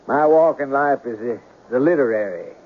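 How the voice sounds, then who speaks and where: Grandiloquent man of letters, genial and formal, middle-register voice, vintage muffled radio drama. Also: medium pitch. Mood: pompous, amused. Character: pompous, courteous, eccentric gentleman writer. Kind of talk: 1970s radio drama acting. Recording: dull old radio broadcast.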